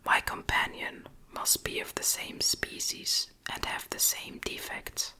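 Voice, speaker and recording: Woman whispering very slowly, bright and airy. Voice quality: soft, breathy, unvoiced whisper. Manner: slow, gentle, deliberate reading. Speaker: audiobook narrator. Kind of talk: whispered ASMR audiobook reading.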